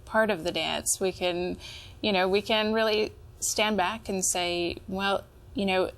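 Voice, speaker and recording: A woman in couples therapy, talking reflectively in a candid, deliberate way. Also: mid-range voice, steady tone, a bit bright. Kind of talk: reflective conversation.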